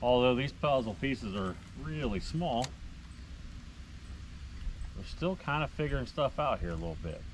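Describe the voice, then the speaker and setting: A man's voice: medium pitch, lively intonation, unhurried, pauses often. A middle-aged American man giving casual, folksy, rambling commentary to viewers as he fishes. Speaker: fishing vlogger and tournament angler. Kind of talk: casual running commentary to camera. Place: outdoors on a small boat on a lake. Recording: outdoor action-camera sound with heavy ambient noise.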